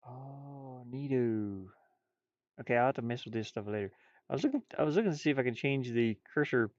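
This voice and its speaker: A man's voice: mid-range voice, animated, brisk, dry recording. Muttering, folksy man in a dull, dry recording. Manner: muttering, thinking aloud to himself. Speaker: streamer and hobby artist. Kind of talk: casual livestream chat while drawing.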